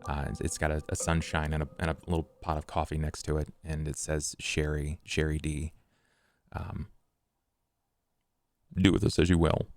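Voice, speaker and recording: A man with a gravelly voice doing improvised tabletop roleplay and narration in a measured, halting delivery. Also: a bass voice, level tone, no echo. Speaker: player in a tabletop roleplay podcast.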